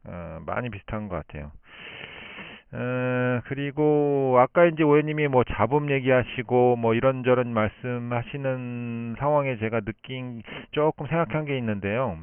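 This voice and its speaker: A man speaking as an amateur radio operator in long, unscripted explanations, thinking aloud with pauses, over a band-limited shortwave link. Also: medium pitch, clean tone, dry recording, phone-quality sound.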